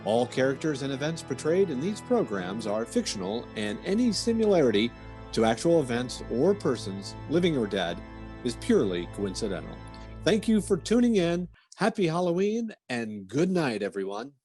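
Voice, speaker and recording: A man's voice: on the high side, big pitch swings, normal speed, a little mellow, soft background music. A man announcing in a theatrical manner, reading a scripted sign-off for a radio drama.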